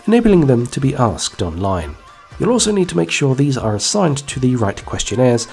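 Lively man narrating a software tutorial. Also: mid-range voice. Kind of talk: scripted tutorial voice-over. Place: voice-over over screen recording.

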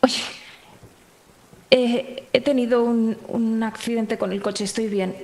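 A woman's voice: on the high side, steady tone, slow, a little mellow. A woman playing a shaken young woman who hesitates and reassures in a tabletop roleplaying game. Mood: hesitant, reassuring.

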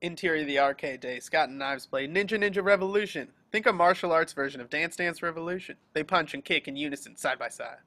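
A man's voice: on the high side, fast talker, few pauses, pushed and bright. A man reading scripted stage directions as the narrator of a table read. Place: remote group video call.

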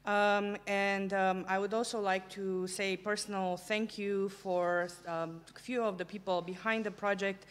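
Halting woman giving a gallery talk. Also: medium pitch, level tone, clear, resonant tone. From Romania. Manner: halting, many fillers, earnest. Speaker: curator giving a book talk. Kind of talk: lecture presentation. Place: small gallery room, indoors. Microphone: table microphone on stand.

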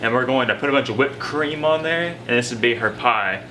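A man's voice: mid-range voice, a little fast, pushed and bright. A young man co-hosting a casual fishing vlog, talking to camera with playful teasing and trash talk, outdoors by a lake and then indoors at home. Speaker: fishing vlogger, co-host. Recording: noisy camera audio.

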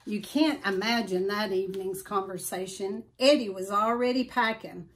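A middle-aged woman reading a children's story aloud with deliberate, expressive emphasis. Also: medium pitch. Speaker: storybook reader. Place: small furnished room at home.

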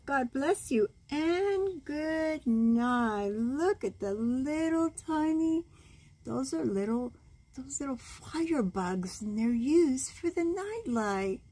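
A woman in her sixties reading a bedtime storybook aloud as a grandmother, gentle and expressive, cooing over the pictures. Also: high voice, takes it slowly. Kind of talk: read-aloud bedtime story with side comments. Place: small room at home, indoors.

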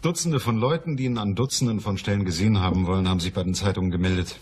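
An actor playing a composed, shrewd private detective in a crime radio play, speaking in a matter-of-fact, persuasive way. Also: male voice, on the low side, racing, a little mellow, slight background noise, close to an everyday voice. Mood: dry. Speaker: radio drama actor and narrator. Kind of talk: German crime radio play acting and narration.

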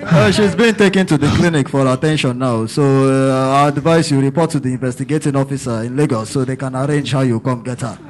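A man playing a Nigerian police inspector who reports by phone in a halting, hesitant way. His voice sits at a plain mid pitch, steady and unhurried. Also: natural voice. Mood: calm. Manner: hesitant, filler-heavy.

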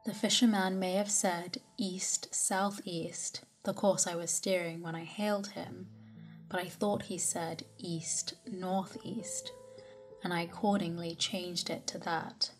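Soft-voiced woman reading a sleep story. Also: on the low side. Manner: slow, soft, calm reading with whispered stretches. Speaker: narrator. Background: soft music.

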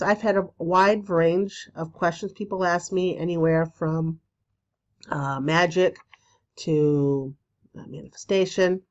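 A woman in her forties with a stuffy cold, hosting a casual spiritual podcast from a small room, chatty and full of ums and pauses. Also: fairly low pitch, darkish tone, highs cut off. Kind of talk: casual solo podcast talk.

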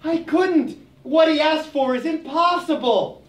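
Woman doing a timid, high-voiced giraffe. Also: unhurried. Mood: dismayed. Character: timid female giraffe, long-necked, easily scared. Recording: roomy, distant.

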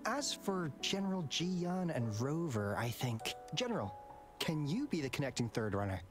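A woman's voice: low-pitched, very animated, moderate pace. Earnest, encouraging young female teammate, game score behind. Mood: thoughtful. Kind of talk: game voice acting. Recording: game dialogue with music underneath.

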